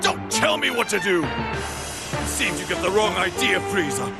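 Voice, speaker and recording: A man playing a proud, irritable alien warrior in an anime game, gravelly and barking with indignation. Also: high-pitched, lively intonation, normal speed. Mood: furious, defiant. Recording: loud music under the voice.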